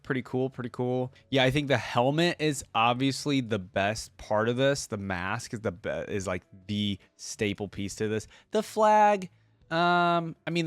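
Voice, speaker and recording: A man with a medium-pitched voice gives informal reaction commentary as the host of a gaming video. He talks casually and thinks aloud as he weighs his opinions. The recording is close and very dry, with no room echo. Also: smooth.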